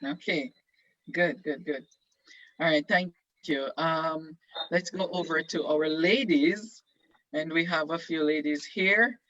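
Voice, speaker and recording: Guy hosting an online thing, bright sharp voice, dry but kinda dull audio. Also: fairly high pitch, slow, no room sound. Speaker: host. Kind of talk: casual event hosting. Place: online video call.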